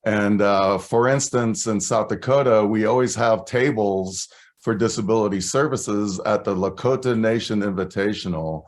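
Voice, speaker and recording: A man on an online panel, answering an audience question in a thoughtful, measured way. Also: lowish voice.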